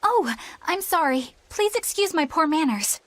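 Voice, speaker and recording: High-voiced, proper young exorcist. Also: female voice, lively intonation, a little slow, a bit bright, dry recording, full character voice. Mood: courteous. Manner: formal and courteous. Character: polite, earnest, proper young female exorcist. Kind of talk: video game voice acting.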